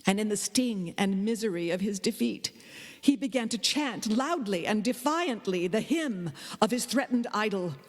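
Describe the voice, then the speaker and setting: A woman giving a dramatic live reading of a literary story, with expressive, deliberate phrasing. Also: medium pitch. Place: indoor hall, live performance. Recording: live performance in a reverberant hall.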